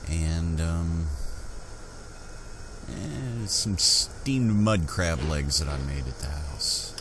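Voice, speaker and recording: A man narrating his fantasy game roleplay in character, unhurried and pausing as he goes. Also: low-pitched, expressive, background noise.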